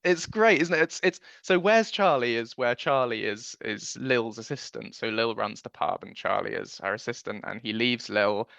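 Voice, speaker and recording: A man's voice: medium pitch, moderate pace, gravelly, hardly any pauses, fairly bright tone, dry recording, lo-fi sound. A man giving chatty, enthusiastic interview answers as a producer, recounting memories in a conversational way.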